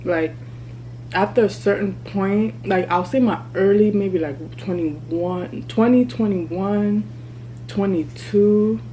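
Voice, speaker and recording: A woman in her thirties talking candidly to her vlog camera while she eats, chatty and relaxed. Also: medium pitch, slow, slight background noise, poor audio. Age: 30s. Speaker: vlogger. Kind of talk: casual mukbang vlog chat. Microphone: camera mic.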